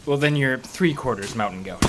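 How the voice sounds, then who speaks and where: A man's voice: mid-range voice, moderate pace, noisy background, close to an everyday voice. A man playing a reluctant, cautious park ranger in an audio drama, speaking in a grumbling, wry, hesitant way. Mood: grumbling, wary.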